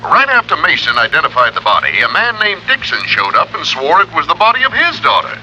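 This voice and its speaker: A man's voice: fairly high pitch, huge pitch swings, a little fast, sharp tone, heavily acted. An American actor playing a chatty, folksy insurance company man in an old-time radio drama. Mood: urgent, businesslike. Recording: dull vintage radio recording, faint noise.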